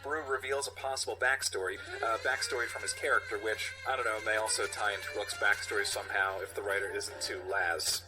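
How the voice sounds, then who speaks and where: A man co-hosting a comedy podcast, riffing loosely and haltingly as he reads out material. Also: lowish voice, fairly even intonation, music in the background, rough recording. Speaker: podcast co-host. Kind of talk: loose comedic podcast banter and script reading.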